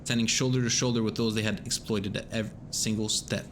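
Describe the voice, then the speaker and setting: A man's voice: fairly low pitch, level tone, fast, a little hiss or hum, natural voice. A man reading a grim, bitter station worker. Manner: urgent, grim storytelling. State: voice going hoarse.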